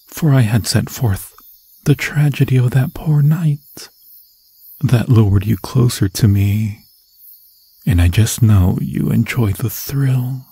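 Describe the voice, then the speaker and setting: A man voicing an obsessed, possessive demon lord in an ASMR horror roleplay, husky and breathy, often dropping into a whisper, with grandiose, seductive phrasing. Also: on the low side, dark tone, dry recording, heavily acted. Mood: gloating, adoring. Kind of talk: ASMR fantasy horror roleplay, theatrical monologue. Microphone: close, dry microphone.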